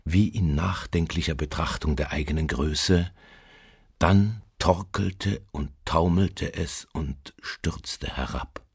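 An actor playing a haunted, doomed seafarer in a literary radio drama, speaking in a slow, brooding, solemn manner with a husky, gravelly voice. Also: male voice, darkish tone, dry recording, clean studio sound, exaggerated voice. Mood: grave dread. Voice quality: very deep, gravelly, husky, at times near whisper. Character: haunted male seafarer recounting his doom aboard a ghost ship.

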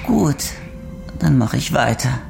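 An actor playing a coldly menacing criminal interrogator in a crime radio drama, mock-courteous, taunting and deliberate. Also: male voice, mid-range voice, sing-song, vocal fry, darkish tone, faint music behind, full character voice. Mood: sadistic, menacing.

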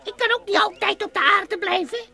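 Very high-pitched little alien professor. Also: female voice, brisk, vocal fry, sharp tone, soft background music, full character voice. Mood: determined, impatient. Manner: quirky stilted phrasing with repeated nonsense exclamations. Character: small brave alien professor from planet Viola, headstrong. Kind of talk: old radio play acting for children.

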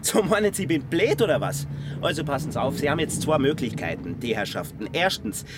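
An actor playing a stern, irritable German train conductor in a radio drama, bossy, threatening and indignant. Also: male voice, a little high, very animated, fairly quick. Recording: noisy train interior ambience.

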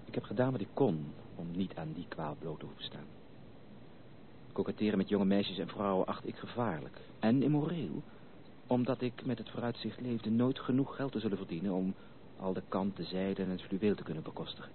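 An actor playing a principled, reserved gentleman suitor in a Dutch radio drama, speaking in a measured, formal and courteous way. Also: lowish voice, animated, creaky. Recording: narrow tinny old radio recording, faint hiss.

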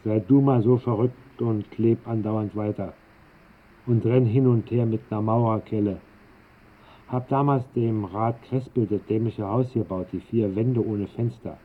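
An actor playing an old, restless, eccentric master bricklayer who grumbles and rambles in a radio drama. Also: male voice, fairly low pitch, steady tone, measured pace. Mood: grumbling, restless. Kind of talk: 1960s German radio drama acting. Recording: muffled band-limited old radio recording.

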